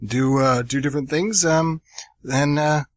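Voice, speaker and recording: A young man hosting a college radio theater show, chatty and energetic with plenty of fillers. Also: a little high, measured pace, dry recording. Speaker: host.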